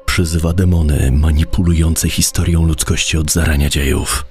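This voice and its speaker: Male actor as vengeful swordsman, extremely low voice, gruff, cold, faint music. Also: flat delivery, normal speed, darkish tone. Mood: grim, ominous. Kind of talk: dramatic manga voice acting.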